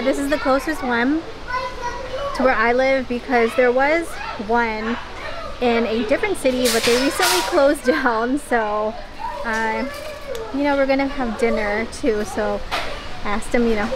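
A woman doing a casual shopping vlog, chatting and thinking aloud as she browses, recorded out in noisy public stores. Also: a little high. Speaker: shopping vlogger. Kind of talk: casual shop-with-me vlog chat. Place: inside busy stores and a mall. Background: shop and mall background noise.

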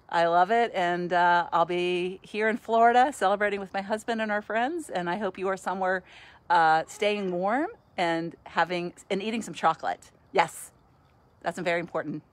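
A woman's voice: medium pitch, steady tone, normal speed. A woman in her sixties, a podcast host, chatting casually and cheerfully into a phone at arm's length on a sunny outdoor patio, laughing often. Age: 60s. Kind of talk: casual selfie video chat.

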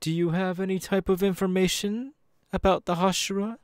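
A man voicing a suave, menacing demon in an ASMR roleplay, with teasing, patronising, drawn-out phrasing, close on the microphone. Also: high-pitched, fairly even intonation, smooth, dry recording, close to an everyday voice. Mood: condescending curiosity. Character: suave, menacing male demon, playfully condescending and seductive. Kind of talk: ASMR audio roleplay, second-person monologue. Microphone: close dry microphone.

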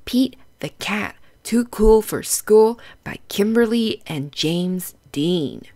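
A woman's voice: mid-range voice, expressive, takes it slowly, a little mellow. A woman hosting a children's storybook read-aloud, explaining things in simple, instructive phrases and putting questions to young listeners.

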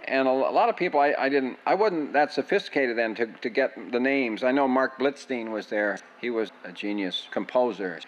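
A man's voice: mid-range voice, animated, fairly quick, few pauses. An older man reminiscing in an oral history interview, rambling, with frequent restarts. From United States. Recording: dull lo-fi sound.

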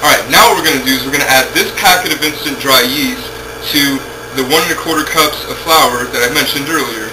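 A man's voice: mid-range voice, fast talker, hardly any pauses. A young man hosting a casual home cooking demonstration in his kitchen, joking offhandedly as he works, recorded on a camera's own mic. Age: young adult. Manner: jokey, offhand, talks while working. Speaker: home cooking show host. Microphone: camera mic, a few feet away. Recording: noisy home-video camera audio.

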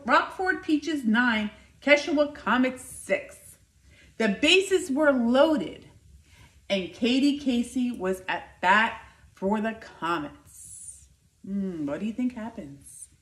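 A woman in her fifties reading a children's picture book aloud indoors, with expressive, deliberate, emphatic delivery. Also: on the high side. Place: living room by a fireplace, indoors. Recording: roomy, echoing indoor sound.

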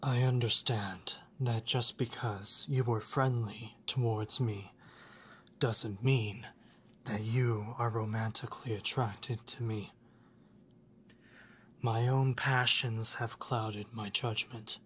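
An actor playing a reserved, lovesick young classmate in an ASMR roleplay, speaking in a soft, hesitant, formal way, often near a whisper. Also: medium pitch, fairly even intonation, takes it slowly, slight background noise, natural voice. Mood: resigned, restrained.

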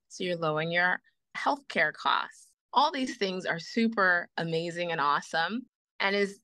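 Bright-voiced earnest woman podcast guest. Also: lowish voice, dry recording. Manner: passionate, repeats phrases for emphasis. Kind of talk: earnest advocacy in conversation.

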